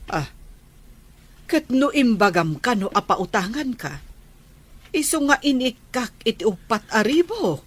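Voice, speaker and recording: A woman's voice: a little high, very animated, measured pace, long pauses, husky, heavily acted. A woman with an Ilocano accent playing a village auntie who lends money, in a family drama. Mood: pressing. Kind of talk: amateur Ilocano radio-style family drama.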